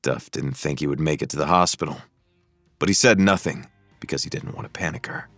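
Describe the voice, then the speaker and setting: A man narrates an audiobook thriller in a deep voice. The reading is scripted storytelling, recorded very dry and close. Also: brisk, fairly bright tone, no room sound, faint music behind.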